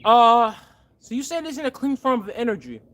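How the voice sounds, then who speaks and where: Very high-voiced earnest male streamer. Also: expressive, brisk, a bit bright. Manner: earnest, thanks donors by name; fervent, rallying. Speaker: livestream host and machine builder. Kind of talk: casual livestream chat.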